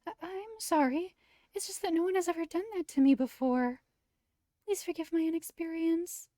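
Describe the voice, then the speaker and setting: High girlish voice, acted by a woman, gentle, shy, formally polite, visual novel character, dry sound. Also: normal speed, no room sound. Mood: flustered, apologetic. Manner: formal, courteous phrasing.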